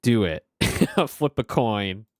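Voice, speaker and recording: Ein Mann mit eher tiefer Stimme spielt live und redet locker drauflos, mit vielen Lachern und Pausen. Die Aufnahme ist trocken, ohne Hall. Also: male voice, lowish voice, expressive, studio quality. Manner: laid-back, joking, often laughing, hesitant. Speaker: streamer. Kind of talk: casual gaming commentary.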